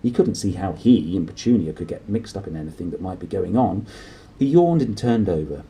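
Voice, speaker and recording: Animated, low-voiced man reading a story. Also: a little fast, a little hiss or hum. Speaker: storybook reader. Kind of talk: expressive children's audiobook narration.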